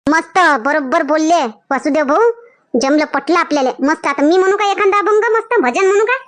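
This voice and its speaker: A woman's voice: very high voice, fairly quick. A woman voicing a chatty, devout village woman in a comedy cartoon, with a rural Vidarbha Marathi accent and a colloquial, questioning way of talking. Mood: approving, eager. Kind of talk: amateur cartoon dialogue.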